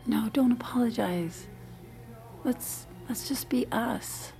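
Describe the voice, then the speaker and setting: Wife, gently exasperated, slow n hesitant, bit of vocal fry, audio drama. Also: female voice, a little high, whispered, soft background music, poor audio. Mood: gentle, uneasy. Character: restrained, uneasy wife, gently exasperated with her husband. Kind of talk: naturalistic audio drama acting.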